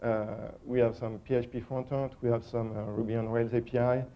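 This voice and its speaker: A man's voice: medium pitch, flat delivery, a little fast. A man with a strong French accent giving a technical conference talk, hesitant and full of ums and uhs. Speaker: conference speaker. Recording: dull lo-fi conference recording.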